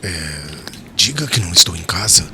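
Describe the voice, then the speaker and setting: An actor playing a cold, reserved, self-important gentleman with formal, pompous diction in a dramatized audiobook. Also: low voice, very animated, normal speed, faint music behind, exaggerated voice. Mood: dismissive. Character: Sadok, cold, reserved, self-important male friend turning someone away.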